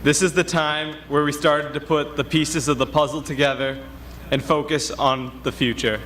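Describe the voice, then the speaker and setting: A man's voice: fairly high pitch, fairly even intonation, moderate pace, fairly bright tone, a little hiss or hum. A young man in his late teens reading a prepared graduation speech as a student.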